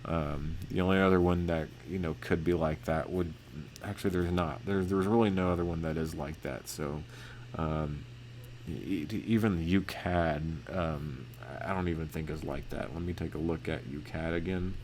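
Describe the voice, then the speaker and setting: A man teaching forex chart analysis in a casual, monotone way with lots of fillers and restarts. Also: low-pitched, moderate pace, a little hiss or hum. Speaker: forex trading educator.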